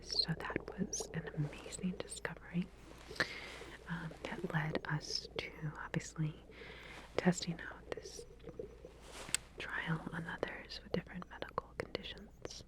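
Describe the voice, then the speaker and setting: Female ASMR researcher role: hushed whisper, lowish voice, gentle clinical explanations with hesitant pauses. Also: flat delivery. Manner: soft, hesitant, explanatory, reassuring clinical talk. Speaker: ASMR roleplayer playing a lab researcher. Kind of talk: whispered ASMR medical roleplay.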